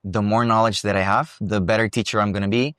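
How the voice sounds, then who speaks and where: A young man from Costa Rica with a Costa Rican accent, talking about his dance career in a relaxed, thoughtful interview. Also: fairly low pitch, fast talker, dry recording, clean studio sound. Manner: relaxed, thoughtful, with frequent restarts. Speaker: guest, salsa dancer and teacher. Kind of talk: casual interview. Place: salsa congress venue.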